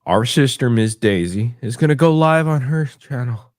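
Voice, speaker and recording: A man's voice: mid-range voice, animated, normal speed, darkish tone, dry recording, studio quality. A man in his forties chatting casually on a livestream, joking and teasing with rambling questions. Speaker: livestream panelist.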